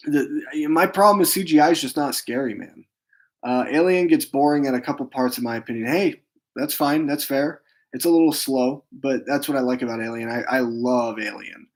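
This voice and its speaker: A man with a hoarse, fading voice hosts a casual live stream from a room at home, rambling with lots of fillers as he answers his chat. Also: mid-range voice. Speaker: film channel host.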